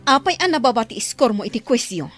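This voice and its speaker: Radio drama role: suspicious, nagging wife and mother, shrill-high and highly animated delivery. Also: fast talker, faint music behind. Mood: scolding. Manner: sharp, nagging. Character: scolding, suspicious, harsh wife and adoptive mother.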